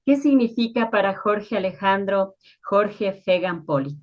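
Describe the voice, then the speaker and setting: A woman with an Ecuadorian accent and a medium-pitched voice, presenting as a radio interviewer. Her phrasing is courteous and formal, and the recording is a little dull and lo-fi. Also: expressive, measured pace. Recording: slightly dull, lo-fi sound.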